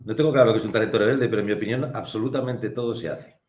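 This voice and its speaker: A low-pitched man talks as an interview guest, reflective and unhurried. His voice carries a noticeable room echo. He pauses often as he thinks aloud. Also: a little mellow. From Spain. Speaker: guest, film producer. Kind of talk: casual interview conversation.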